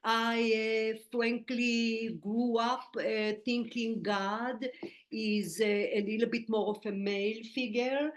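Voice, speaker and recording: A woman's voice: a little high, fairly even intonation. A middle-aged woman from Israel with an Israeli accent, a Hebrew native speaker telling her story as an interview guest over a video call in earnest, slightly broken English. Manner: warm and earnest, with halting non-native grammar and "eh" fillers. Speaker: guest, medium and spiritual teacher.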